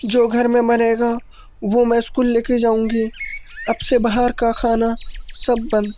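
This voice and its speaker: A woman's voice: a little high, monotone, unhurried, mellow, a little hiss or hum, natural voice. A woman playing a remorseful young schoolgirl daughter in a cartoon story, pleading emotionally. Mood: apologetic, pleading.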